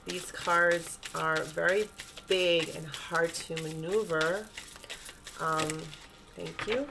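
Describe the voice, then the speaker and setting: A woman with a stuffy, congested nose giving a gentle, reassuring spiritual tarot reading and often checking in with her listeners. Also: fairly low pitch, fairly even intonation, slow pace, gravelly, noisy background. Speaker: tarot reader.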